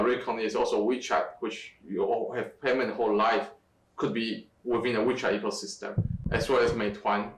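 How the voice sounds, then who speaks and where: A middle-aged man from Taiwan, a guest in an interview, speaking in a halting way with many fillers and restarts. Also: medium pitch, a bit bright. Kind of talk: conversational interview. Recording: echoey room, distant from mic.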